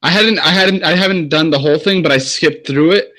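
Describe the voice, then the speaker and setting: A man's voice: a little high, a little fast, pushed and bright. A man co-hosting a casual live-stream chat, eager and enthusiastic, often restarting his sentences. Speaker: podcast co-host.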